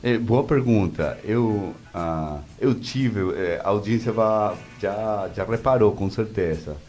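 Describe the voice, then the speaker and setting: A man with a Venezuelan accent and native Spanish, answering interview questions conversationally in halting speech, searching for words. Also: lowish voice, darkish tone, soft background music. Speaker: interview guest, media executive.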